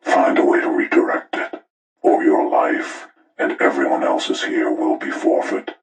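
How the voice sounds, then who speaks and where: An older killer mastermind for a horror game, with a rock-bottom, gravelly voice. He moralizes and taunts calmly, every word slow and ominous. The sound is filtered like a tape playback, echoing and set back from the microphone. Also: rumbling low, exaggerated voice. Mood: menacing, instructing. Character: menacing older male serial killer mastermind, calm and moralizing. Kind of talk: scripted villain monologue for a horror game. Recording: roomy, distant, radio-like playback.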